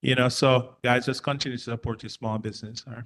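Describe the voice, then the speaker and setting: A man's voice: mid-range voice, flat delivery, quick pace, fairly bright tone, a little hiss or hum. A man who owns a cafe, giving an informal, friendly public comment at a community board meeting with many hesitations.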